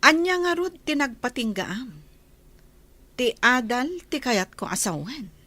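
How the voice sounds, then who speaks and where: A haughty, scornful rich wife, voiced by a woman in a village radio drama. She speaks slowly and questions her servants with cold disdain. Her pitch swings widely and sits above the usual for women. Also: big pitch swings, pushed and bright, no room sound, exaggerated voice. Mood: condescending. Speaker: wealthy lady of the house.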